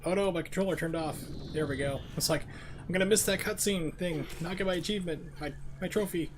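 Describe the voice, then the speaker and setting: A male streamer talks casually over his gameplay with wry, joking asides. His voice sits a little high for a man, and there is clear noise behind him. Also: brisk. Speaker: video game streamer. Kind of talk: casual live gameplay commentary.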